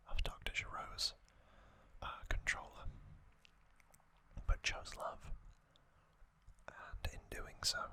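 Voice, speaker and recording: Male ASMR host for whispered storytelling: very slow, breathy and crisp, delivered in short hushed phrases.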